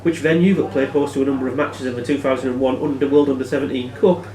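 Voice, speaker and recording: A man hosting a quiz as quizmaster, reading questions aloud in a plain, matter-of-fact way and giving brisk rulings. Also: medium pitch, steady tone, mellow. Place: live in a pub. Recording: echoey room, distant microphone.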